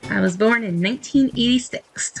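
A woman's voice: a little high, normal speed, gravelly, rough recording. Casual woman streamer with music behind. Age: 30s. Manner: rambling, thinking aloud. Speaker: video game streamer. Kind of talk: casual chat while playing a game.

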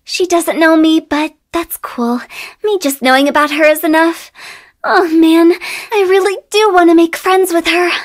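A woman's voice: very high voice, measured pace. A woman voicing a playful, eccentric young female funeral director in a video game, with a theatrical, teasing, sing-song delivery. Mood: gossipy, mischievous. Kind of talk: video game character voice lines.

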